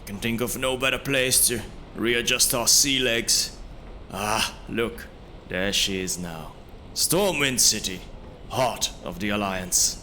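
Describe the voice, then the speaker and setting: A man's voice: lowish voice, takes it slowly, sharp tone, slight background noise. Man acting a seasoned brother with kindly authority. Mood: proud. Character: seasoned traveling brother, male, genial guide turned grave commander.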